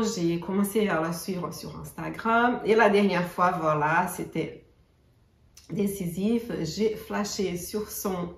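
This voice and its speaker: A woman whose first language is Portuguese, chatting casually about her knitting projects with many pauses and restarts. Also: on the low side. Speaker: knitting podcaster. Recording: echoey room, mic at a distance.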